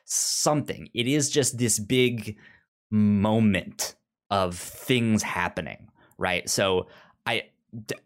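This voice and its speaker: Halting podcast co-host, mid-pitched voice. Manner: halting, repeats words while forming thoughts. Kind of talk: casual review discussion.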